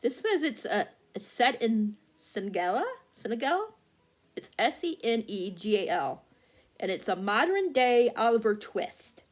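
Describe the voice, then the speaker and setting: A woman's voice: fairly high pitch, sing-song, measured pace. A woman chatting casually about upcoming books, rambling with lots of fillers and restarts while working a phone. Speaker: book vlogger. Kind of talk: casual chat.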